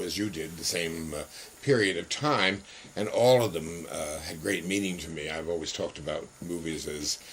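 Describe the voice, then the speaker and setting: Low-voiced man interviewing over clear background noise. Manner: effusive, wordy, circling phrasing. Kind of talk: conversational recorded interview. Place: photographer's home studio office. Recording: noisy old interview tape.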